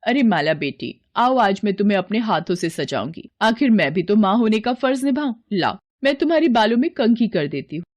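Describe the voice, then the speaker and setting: A scheming, spiteful cartoon queen, acted by a woman. Her delivery is theatrical, at a middling pitch, full of a jealous stepmother's cunning. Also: sing-song, a little fast, hardly any pauses. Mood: falsely sweet.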